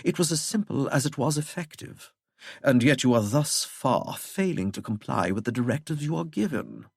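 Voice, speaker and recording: A man voicing a smug, unflappable English gentleman villain for an audiobook, with a posh, droning, mock-courteous manner. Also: medium pitch, lively intonation, moderate pace, gravelly, slightly rough, dry recording, clean studio sound, pitched above the performer's own voice. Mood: quietly menacing. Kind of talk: audiobook character voice.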